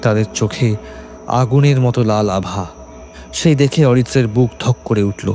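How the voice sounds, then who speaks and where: A man narrating a scripted horror story in suspenseful, measured phrases. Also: medium pitch, dark tone. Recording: faint music behind.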